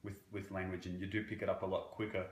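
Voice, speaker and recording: A man from Croatia working as a banking relationship manager, answering interview questions in a halting way with many fillers. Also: sits low, level tone, echoey room. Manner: halting, with frequent fillers and restarts.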